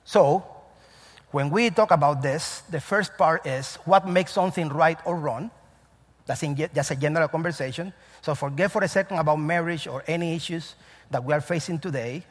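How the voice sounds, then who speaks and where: Cuban-accented pastor preaching in a reverberant hall. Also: male voice, a little high, breathy edge. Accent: Cuban Spanish. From Cuba. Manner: earnest, repetitive, emphatic preaching with frequent restarts. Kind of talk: sermon. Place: church sanctuary.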